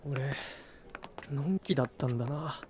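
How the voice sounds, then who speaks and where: An earnest young knight acted by a man in an anime audio drama. He sounds humble and sincere, soft-spoken in a middling pitch, with faint noise behind him. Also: moderate pace, lightly acted. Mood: regretful. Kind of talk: Japanese anime-style voice drama acting.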